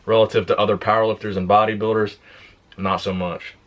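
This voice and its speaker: A young man on a fitness channel, casually answering viewer questions in a plain, relaxed way. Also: fairly low pitch, a little fast, muffled recording. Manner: relaxed, plain-spoken, reads questions aloud then answers. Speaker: fitness YouTuber. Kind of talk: casual Q and A vlog.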